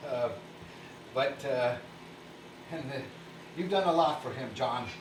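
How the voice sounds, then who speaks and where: An actor playing a humble, kindly old toymaker on stage, halting, stammering and apologetic. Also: male voice, mid-range voice, lightly acted. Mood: wistful. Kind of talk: theatrical stage acting. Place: theatre stage. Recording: echoey distant stage recording, faint noise.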